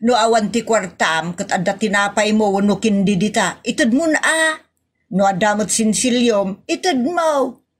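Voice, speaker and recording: A woman's voice: mid-range voice, a little slow, close to an everyday voice. A woman playing a scolding, exclamatory wife with an Ilocano accent in a comedy audio drama. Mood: insistent.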